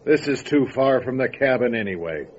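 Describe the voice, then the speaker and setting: A man playing a gruff, stubborn, guarded mountain recluse in an old-time radio drama. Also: mid-range voice, lively intonation, moderate pace, lightly acted. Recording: dull lo-fi radio drama recording, faint noise.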